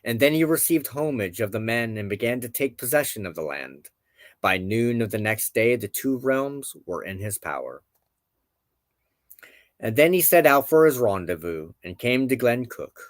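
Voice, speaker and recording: A man's voice: lowish voice, sing-song, normal speed, no echo, studio quality. A man narrating an old legend aloud as a storyteller. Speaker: host, storyteller.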